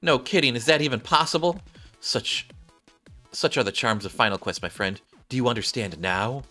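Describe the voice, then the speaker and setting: Man voicing a brash, bright-toned gamer girl. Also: medium pitch, normal speed, soft background music, close to an everyday voice. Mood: eager. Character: brash excitable young female gamer, teasing and opinionated.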